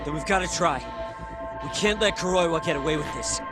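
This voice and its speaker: Young male sidekick acted by a man, slightly high register, earnest and plucky, game cutscene with music. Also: brisk, natural voice. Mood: urgent, earnest. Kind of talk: dramatic video game cutscene acting. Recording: loud dramatic music behind.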